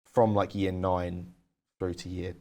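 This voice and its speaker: A man's voice: low-pitched, little pitch movement, slow, vocal fry, warm tone, soft background music. A young man co-hosting a podcast, telling candid stories in casual chat with lots of "like". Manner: candid, storytelling, uses "like" a lot. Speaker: podcast co-host.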